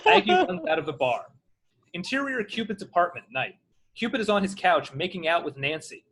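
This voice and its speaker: Husky man reading screen directions. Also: high-pitched, big pitch swings, fairly quick, fairly bright tone. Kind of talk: scripted narration.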